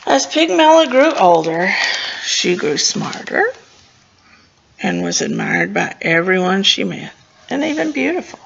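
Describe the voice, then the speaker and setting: A woman's voice: medium pitch, unhurried, vocal fry, slightly rough, dull audio. A woman reading a picture book aloud for storytime, expressively, stumbling now and then and adding casual asides about the pictures. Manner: expressive, stumbling over words and commenting as she goes. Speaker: librarian reading a storybook to children.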